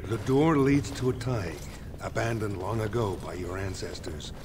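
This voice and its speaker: An actor playing a stern military commander in a fantasy game, speaking formally and with measured authority. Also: male voice, on the low side, noisy background, close to an everyday voice. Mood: formal, firm. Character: stern male military commander briefing a young noble. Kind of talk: fantasy video game dialogue. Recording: game music behind.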